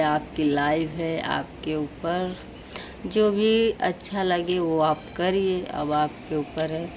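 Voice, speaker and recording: A woman's voice: on the low side, background noise. A woman vlogger, chatting casually and repetitively to viewers as friends. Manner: conversational, repeats phrases, addresses viewers as friends.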